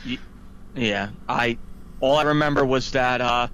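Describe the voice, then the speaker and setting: A man co-hosting a casual podcast, talking in a halting way and often repeating himself. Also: mid-range voice, fairly bright tone, a little hiss or hum, poor audio. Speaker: podcast co-host. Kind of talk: casual chat.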